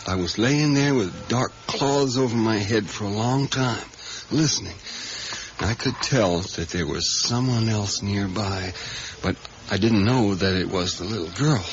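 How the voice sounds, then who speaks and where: An actor playing a haunted, obsessive diner worker in a radio drama, with folksy, plain-spoken rural speech and hesitant, repetitive, intense storytelling. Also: male voice, fairly low pitch, measured pace, lightly acted. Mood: hushed, entranced. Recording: dull, noisy 1980s radio recording.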